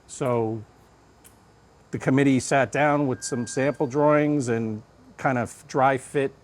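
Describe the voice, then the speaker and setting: A man being interviewed as a fire lieutenant, talking conversationally and deliberately in short, thought-out phrases. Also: mid-range voice, fairly even intonation.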